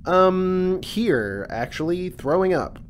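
A woman's voice: lowish voice, big pitch swings, slow, slight background noise. A woman playing an irritable, hungover male desk clerk in a comic audio drama, muttering and curt. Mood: curt, queasy.

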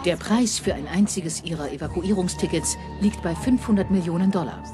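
A woman's voice: lowish voice, fairly quick, over loud music, close to an everyday voice. Actress as probing female TV interviewer. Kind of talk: broadcast interview, German dub.

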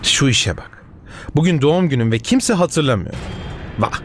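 An actor dubbing a good-hearted, put-upon young husband in a TV drama, with an expressive delivery. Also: male voice, fairly high pitch, normal speed, noisy background, lightly acted. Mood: sulky, hurt.

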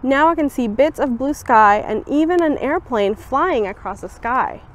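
A young woman with a fairly high voice reads a children's picture book aloud. Her storytelling is expressive, and she brightens the voice for the quoted lines. She was recorded outdoors on a campus bench by a camera a short way off. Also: normal speed, a little hiss or hum. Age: young adult. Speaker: student volunteer reader.

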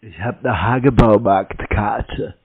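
A man's voice: fairly low pitch, slow. A man in a casual phone chat, giving short, naive-sounding answers as he plays along. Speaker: prank call recipient playing along. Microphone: telephone. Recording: tinny narrow phone line.

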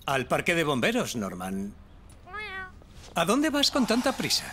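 A man voicing a calm, good-natured adult firefighter in a dubbed cartoon, speaking patiently. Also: a little high, a little slow, background noise. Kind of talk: cartoon dubbing.

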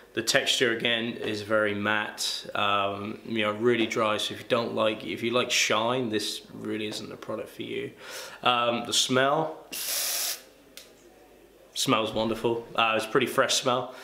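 A man's voice: medium pitch, bright, forceful tone. A young man, reviewing a hair product in a casual, chatty vlog with plenty of ums and uhs. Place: small room indoors. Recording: echoey room with faint ambience.

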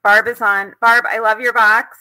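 A woman's voice: a little high, little pitch movement, normal speed, sharp tone, low-quality recording. An older woman with an American accent hosting a craft tutorial live from home, chatting casually as she demonstrates. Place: indoors at home.

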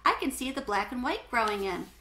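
A woman's voice: a little high, animated, a little fast, bright, forceful tone. A woman reading a storybook as an earnest, protective young boy who speaks precisely and matter-of-factly.

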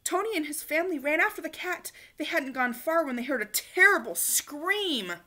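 A woman reading a children's picture book aloud in an expressive, emphatic way, acting it out for young listeners. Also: high-pitched, normal speed. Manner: animated, emphatic, acts out dialogue. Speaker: storybook reader. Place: small living room, indoors on a couch.

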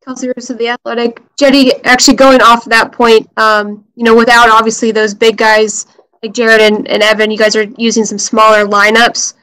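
A woman's voice: on the high side, level tone, moderate pace, fairly bright tone, rough recording. A young woman sports reporter asking a wordy question with fillers over a video call from home. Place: home room, indoors.

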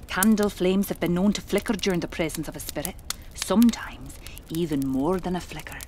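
A woman's voice: on the low side, brisk, noisy background, lightly acted. A woman playing a commanding, mysterious spirit medium of the 1920s in a horror audio drama, with formal, theatrical diction. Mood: solemn, instructive. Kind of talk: dramatic horror podcast acting.

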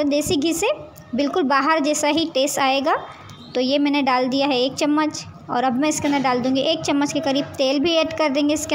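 A woman with a high, light voice talks viewers through a recipe step by step. Her delivery is calm and explanatory, often pointing things out as she goes. A faint hiss of background noise sits under the voice. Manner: calm, explanatory, addresses viewers directly with frequent "see this". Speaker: home cooking channel host. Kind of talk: step-by-step cooking demonstration voice-over. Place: home kitchen.

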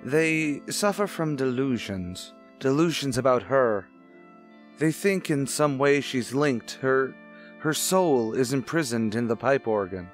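An actor playing a stern, brooding widower in an old-time radio drama, speaking formally and deliberately. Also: male voice, medium pitch, expressive, soft background music, close to an everyday voice. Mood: grave, sorrowful. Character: stern, brooding widowed father with a morbid past.

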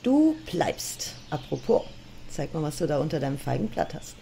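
A woman's voice: low-pitched, big pitch swings, moderate pace, gravelly, dark tone, slight background noise, heavily acted. A woman acting a bossy, teasing and curious Eve in a comedic audio drama. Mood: bossy, persuasive. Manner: sassy, teasing.

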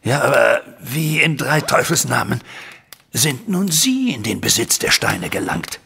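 An older gentleman in an audio drama, a troubled father and formal client. His voice is gravelly and forceful, with a husky edge and emphatic phrasing. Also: mid-range voice, sing-song, measured pace, full character voice. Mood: astonished, urgent. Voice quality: gravelly, raspy. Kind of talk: German audio drama acting.

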